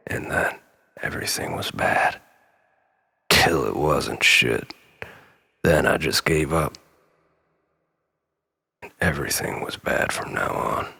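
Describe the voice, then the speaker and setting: An actor playing a troubled, obsessive man pleading with his lost lover in a halting, rambling dramatic monologue, often dropping near a whisper. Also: rumbling low, creaky, sharp tone, slightly rough, full character voice. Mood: bitter, resigned. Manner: halting, rambling, nervous self-corrections and sighs.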